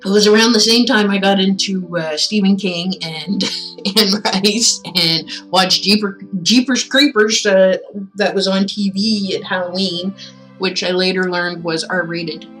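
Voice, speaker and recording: A woman streams in a casual, informal way, musing aloud as she goes. Her medium-pitched voice moves slowly and rambles along. Faint music plays behind her. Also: steady tone, fairly bright tone, poor audio. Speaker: streamer reading stories aloud. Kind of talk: casual livestream chat.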